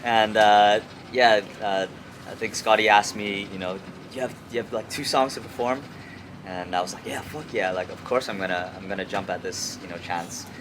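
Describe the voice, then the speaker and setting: Young man from Hong Kong, deep voice, casual stop-start slangy talk, recorded on a noisy urban rooftop. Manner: halting, repeats words, slangy with frequent "like" and "you know". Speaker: music artist, interview guest. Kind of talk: casual chat. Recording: clear outdoor city traffic noise.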